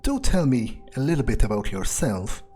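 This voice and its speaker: A man's voice: medium pitch, huge pitch swings, normal speed, dark tone, breathy edge, soft background music. A man role-playing a reserved fledgling vampire in a video game playthrough, speaking casually and hesitantly as he muses. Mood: politely inquisitive. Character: reserved, wary male fledgling vampire, thinking aloud.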